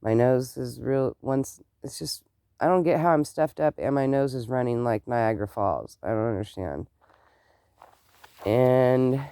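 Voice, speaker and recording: Congested vlogger rambling on slow, mellow smooth voice, lots of oh my gosh. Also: medium pitch, dry recording, studio quality. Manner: chatty, meandering, exclaims often. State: congested, stuffed-up nose and clogged ears. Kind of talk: casual rambling chat to camera.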